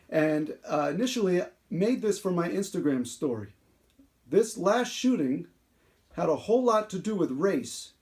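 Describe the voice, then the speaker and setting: A man voicing a chatty unicorn host of a children's-style story time, reading aloud earnestly with halting asides. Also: fairly high pitch, darkish tone. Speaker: show host.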